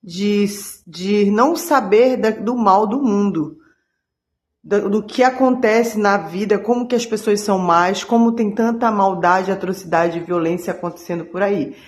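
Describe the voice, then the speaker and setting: A woman giving an earnest religious monologue as a channel host, halting often and restarting her words. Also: mid-range voice, darkish tone, echoey room.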